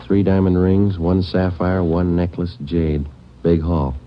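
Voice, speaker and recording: A laconic American detective sergeant in a vintage radio police drama. His voice is very low and deadpan, with short businesslike phrases. The old recording is dull, with light noise. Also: measured pace. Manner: deadpan, clipped, matter-of-fact. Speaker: narrator and lead actor.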